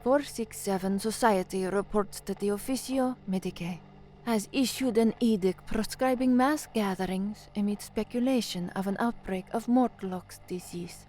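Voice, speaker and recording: A woman's voice: mid-range voice, darkish tone, slight background noise, natural voice. An actress playing a young, anxious woman who works as a radio newsreader in an audio drama. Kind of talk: audio drama dialogue, narration and formal newsreading.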